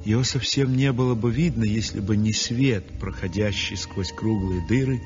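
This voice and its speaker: An actor playing a brooding, exhausted painter in a 1960s radio drama monologue, grave, introspective and intense. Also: male voice, lowish voice, moderate pace, faint music behind, close to an everyday voice. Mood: intense, anguished. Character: brooding, tormented, exhausted male realist painter. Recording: muffled 1960s radio recording.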